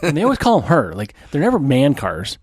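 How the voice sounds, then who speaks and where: A man's voice: a little high, huge pitch swings, fairly quick, breathy edge, no echo. A man co-hosting a casual beer podcast, cracking crude jokes and talking loosely with lots of fillers. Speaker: podcast co-host. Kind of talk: casual chat over beers.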